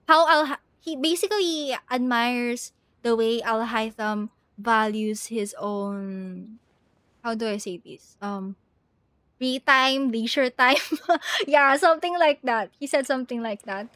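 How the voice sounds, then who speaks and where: High-voiced woman streamer, unhurried and giggly. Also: fairly bright tone, dry recording. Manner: giggly, hesitant, thinking aloud. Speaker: game streamer. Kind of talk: casual livestream chat while playing.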